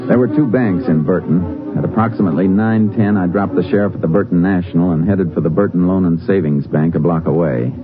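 An actor playing a laconic, authoritative Texas Ranger in a 1950s radio western, speaking in a terse, matter-of-fact way. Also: male voice, low voice, a little fast, hardly any pauses, close to an everyday voice. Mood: brisk, determined. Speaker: lead actor and story narrator. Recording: dull vintage radio recording, music.

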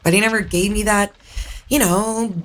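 A woman's voice: mid-range voice, fairly even intonation, normal speed, a bit bright, close to an everyday voice. A woman playing a flippant, entitled young princess in an audio drama, with a breezy, sarcastic, casual delivery. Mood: defensive, insistent.

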